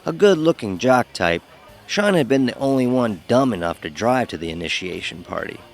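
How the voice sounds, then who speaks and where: A man's voice: mid-range voice, sing-song, moderate pace, faint music behind. A man playing a sarcastic young fraternity pledge who narrates his own story in an audio horror drama, wry and sardonic. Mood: wry storytelling. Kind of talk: scripted first-person horror narration with dialogue.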